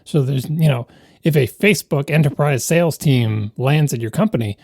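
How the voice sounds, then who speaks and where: Brief: male podcast co-host, hoarse and gravelly, loose rambling talk, dry sound. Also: fairly high pitch, moderate pace, darkish tone, no echo. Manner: rambling, restarts mid-sentence. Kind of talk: casual tech chat.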